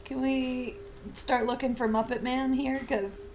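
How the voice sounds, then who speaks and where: A woman's voice: high-pitched, flat delivery, measured pace, darkish tone, a little hiss or hum, rough recording. A woman chatting casually as a player in a tabletop game.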